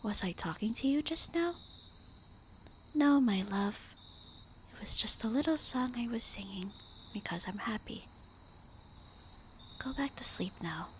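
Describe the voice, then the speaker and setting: A woman voicing a tender, melancholy immortal vampire wife in a breathy, intimate whispered ASMR roleplay. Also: mid-range voice, slight background noise, close to an everyday voice. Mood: soothing. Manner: slow, intimate, wistful murmuring.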